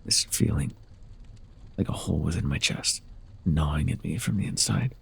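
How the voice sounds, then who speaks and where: A man playing a forgotten, sorrowful former god in an ASMR audio roleplay, with a breathy, gravelly voice and a halting, brooding delivery. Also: sits low, expressive, full character voice. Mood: mournful, brooding.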